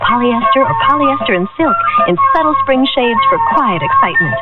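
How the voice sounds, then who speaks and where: High-voiced woman in a music-backed radio ad. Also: expressive, brisk. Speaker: commercial announcer. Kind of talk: scripted 1970s radio advertisement. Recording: phone-like radio ad over loud music.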